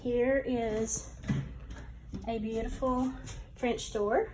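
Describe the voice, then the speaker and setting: A woman giving a casual vlog house tour, talking in a halting, gushing, conversational way. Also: a little high, steady tone, noisy background.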